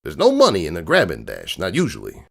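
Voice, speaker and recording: A man playing a gruff, streetwise crook horse uncle who tells bedtime stories in a slangy, cynical, digressing way. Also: deep voice, huge pitch swings, quick pace, fairly bright tone, exaggerated voice. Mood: critical, lecturing. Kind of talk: dramatic reading of a comic bedtime story. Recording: music bed behind the voice.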